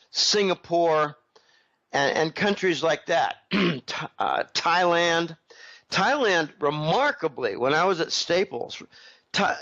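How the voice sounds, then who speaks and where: A man giving a rambling interview answer while recovering from a head cold, clearing his throat often. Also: a little high, fairly bright tone, highs cut off. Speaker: interview guest. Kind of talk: casual interview commentary.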